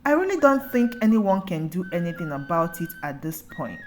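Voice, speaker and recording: A woman voicing a wise, motherly elderly village woman in a folktale, gently reassuring and set in tradition. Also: fairly low pitch, big pitch swings, normal speed, soft background music, pitched below the performer's own voice. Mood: gently dismissive. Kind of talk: folktale storytelling.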